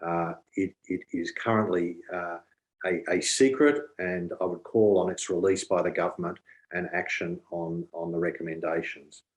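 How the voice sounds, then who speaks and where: A man answering haltingly as a candidate at an online forum. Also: low voice, fairly even intonation, low-quality recording. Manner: halting, hesitant delivery. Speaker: election candidate. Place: online video call.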